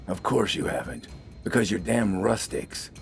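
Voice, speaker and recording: A man's voice: sits low, very animated, a little slow, vocal fry, heavily acted. A battle-weary corporal, played by a male narrator, barking and sardonic. He speaks with scornful irony in a science fiction audiobook, with faint music underneath. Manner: sardonic, heavily ironic, barking.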